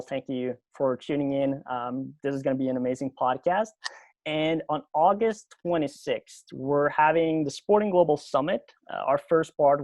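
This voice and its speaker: A man hosting a podcast interview over a video call, speaking English as a second language, halting, with many fillers and restarts. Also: medium pitch, a little mellow, no room sound. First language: not English. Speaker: podcast host.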